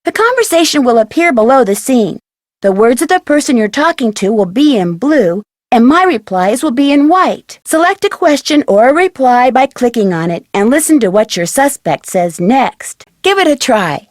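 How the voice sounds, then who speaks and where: A woman's voice: a little high, sing-song, normal speed, no room sound, clean studio sound. A woman playing a perky, polite, inquisitive young detective in a video game, speaking in a chirpy, articulate, friendly way. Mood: cheerful, instructive. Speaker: game protagonist and tutorial guide. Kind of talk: scripted video game voice acting.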